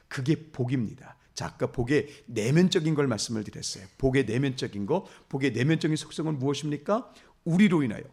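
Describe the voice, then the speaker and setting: A middle-aged man preaches from the pulpit with a gravelly, husky voice that sits a little high. He mixes candid stories about himself with emphatic calls of blessing to his congregation. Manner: confessional storytelling, rising to emphatic blessing. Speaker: pastor. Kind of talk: sermon.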